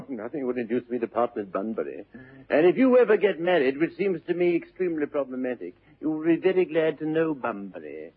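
An actor playing a witty, flippant upper-class English bachelor in a classic stage comedy, airy, glib and theatrical. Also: male voice, a little high, very animated, fairly quick, slightly rough. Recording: muffled vintage narrow-band radio recording.

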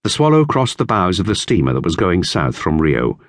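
Low-pitched man reading a children's story. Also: no room sound, clean studio sound. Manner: measured, expressive storytelling. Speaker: audiobook narrator. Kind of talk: scripted narration of a children's classic novel.

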